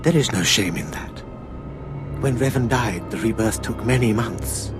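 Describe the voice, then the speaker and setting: An older actor playing a solemn, ceremonial cult elder in a video game, speaking gravely and deliberately. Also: male voice, fairly low pitch, music in the background, natural voice. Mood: reverent, approving. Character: solemn old cult elder testing initiates. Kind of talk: scripted video game dialogue.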